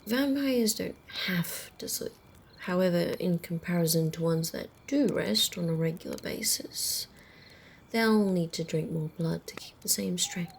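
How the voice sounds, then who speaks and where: A woman playing a centuries-old female vampire in an ASMR roleplay, wry, teasing and casually menacing, in a soft, breathy, often whispered voice. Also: fairly low pitch, slight background noise. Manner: unhurried, amused, conversational.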